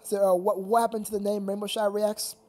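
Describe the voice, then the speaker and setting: A livestream host chatting casually with viewers in a halting, repetitive way, often addressing them as sir. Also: mid-range voice, little pitch movement, a little mellow.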